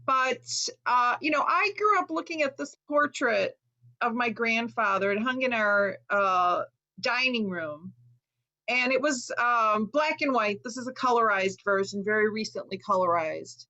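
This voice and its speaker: Woman author from Chicago, Lithuanian as first language; earnest lecture voice, fairly high, over muffled call audio. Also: expressive, measured pace, pushed and bright. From Chicago, USA. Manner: earnest, reflective, with frequent ums. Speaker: author giving a talk. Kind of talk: online lecture presentation.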